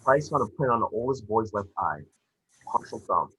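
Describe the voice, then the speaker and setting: A man acting a brisk, terse, commanding federal agent boss in a table read. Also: lowish voice, lively intonation, a bit bright, poor audio.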